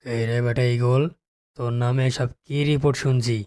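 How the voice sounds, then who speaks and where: A man voicing a stern, fed-up tiger who rules the jungle in a children's cartoon, gruff and commanding. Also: medium pitch, little pitch movement, normal speed, a little mellow, natural voice. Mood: stern, annoyed.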